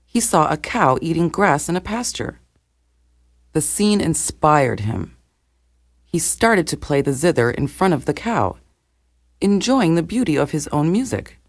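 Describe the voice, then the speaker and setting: A man narrating scripted folktales for learners in a clearly phrased storytelling way. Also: on the high side, big pitch swings, a little slow, dry recording, clean studio sound.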